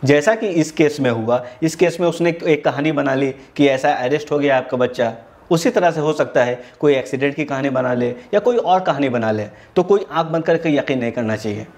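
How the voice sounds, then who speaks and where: A man's voice: a little high, a little fast, darkish tone. Indian man explaining in tutorial style. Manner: explains step by step in Hindi mixed with English terms. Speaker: presenter of an educational tech video series.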